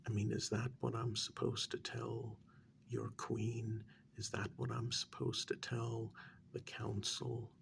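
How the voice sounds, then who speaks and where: A man playing a stern, sardonic interrogator of a captive vampire in a soft-spoken ASMR role-play, halting and probing, drifting into whispers. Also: medium pitch, level tone, gravelly, a little hiss or hum. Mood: stern, exasperated.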